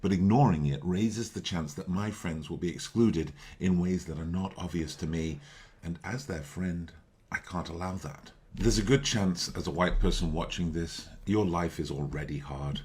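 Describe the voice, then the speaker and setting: A man narrating a scripted explainer video in a calm, measured, persuasive way. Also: deep voice.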